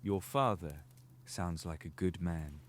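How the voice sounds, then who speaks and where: A man's voice: deep voice, takes it slowly, slight background noise. A man playing a wry, world-weary middle-aged godfather in a fan audio drama, fatherly with youngsters. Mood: wry, friendly.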